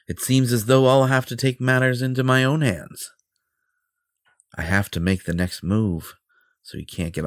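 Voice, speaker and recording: A man's voice: mid-range voice, no room sound, clean studio sound. A man playing a vain, possessive superhero in an audio roleplay, theatrical and self-important, with a flirtatious streak.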